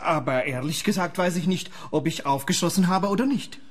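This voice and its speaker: An actor playing a nervous, defensive lawyer in a radio play, indignant. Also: male voice, on the high side, brisk, close to an everyday voice.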